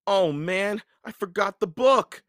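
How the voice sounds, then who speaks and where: Storybook young boy, man acting: stubborn, flustered, higher-pitched, forceful delivery. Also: expressive, extremely slow, dry recording, clean studio sound, full character voice.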